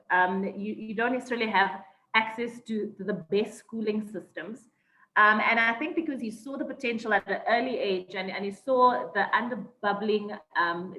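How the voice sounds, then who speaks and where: A South African woman speaks with a bright, forceful tone, pausing often with ums as she thinks back over her story. The sound is roomy and a little dull, as if caught in a bare room on a low-grade mic. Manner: thoughtful, many ums and restarts. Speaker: guest. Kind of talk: interview, personal story.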